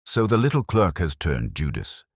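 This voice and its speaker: Man doing a deep-voiced, haughty aristocrat villain. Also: big pitch swings, moderate pace, breathy edge, dry recording, studio quality, full character voice. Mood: cold menace. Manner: pompous, contemptuous, formal. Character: haughty, cornered aristocrat villain, cold and bitter. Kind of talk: dramatised audiobook mystery.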